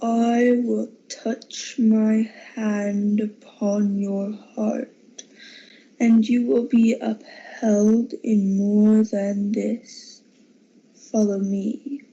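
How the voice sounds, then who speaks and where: A teenage actress playing a calm, solemn, otherworldly spirit in a radio drama, with a breathy, creaky voice. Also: female voice, medium pitch, drawn-out words. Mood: calm, guiding. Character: calm, solemn, otherworldly ghost of Christmas past. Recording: dull lo-fi amateur recording.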